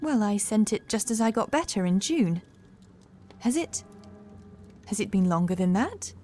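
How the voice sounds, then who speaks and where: Woman doing a fragile anxious housemaid for a horror audiobook, soft mellow voice, music underneath. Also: medium pitch, animated, unhurried. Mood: confused, nervous. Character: fragile young housemaid haunted by cruel masters, dreamy and anxious.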